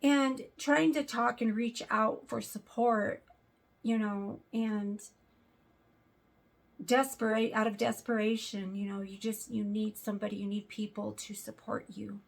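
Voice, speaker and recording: Slow, raspy woman with a sore throat. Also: mid-range voice, little pitch movement. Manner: earnest, hesitant, many fillers. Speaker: vlogger. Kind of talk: casual talk to camera.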